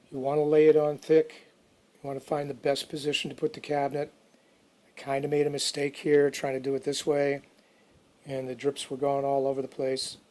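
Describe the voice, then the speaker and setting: Male DIY narrator, mid-pitched and very flat in intonation, informal and off the cuff. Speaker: DIY restoration video narrator. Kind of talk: casual how-to narration.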